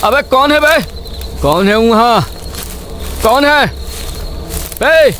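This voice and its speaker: A man voicing a frightened, gruff village farmer and husband with a Bihari accent, blunt and agitated, in a horror story. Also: extremely high pitch, drawn-out words, sharp tone, slight background noise, voice pushed up, exaggerated voice. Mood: alarmed shouting. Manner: blunt, agitated rural speech. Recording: constant night cricket and insect sounds behind.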